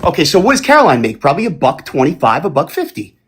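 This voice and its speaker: A man hosting a casual live-streamed panel debate, animated and given to loud exclamations of disbelief. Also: a little high, fast talker, a little hiss or hum. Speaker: panel host. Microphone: phone or computer mic on a live stream.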